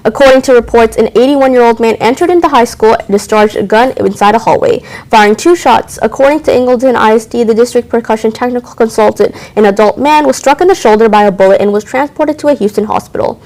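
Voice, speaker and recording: A teenage girl anchoring a school news show, reading announcements briskly with occasional stumbles. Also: fairly high pitch, a little mellow, low-quality recording. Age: teens.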